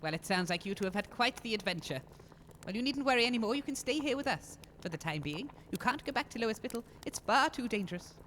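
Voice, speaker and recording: A woman playing a kindly, sensible, long-suffering wife in an audio comedy drama, polite and gently exasperated. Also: fairly high pitch, expressive, fast talker, a little hiss or hum.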